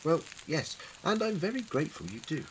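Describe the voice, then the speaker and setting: A man's voice: a little high, very animated, a little fast, a little hiss or hum, rough recording. A man acting in a fan audio drama as an eccentric, clever Time Lord adventurer, musing, wordy and wryly witty. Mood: gentle, reassuring.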